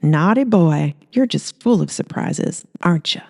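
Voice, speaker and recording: A woman's voice: low-pitched, animated, unhurried, gravelly, mellow, full character voice. Woman putting on a feisty, flirty small-town gal.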